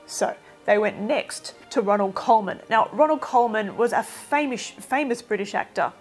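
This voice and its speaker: A woman's voice: on the high side, normal speed, faint music behind. A woman giving an informal, opinionated film review in a chatty, emphatic way.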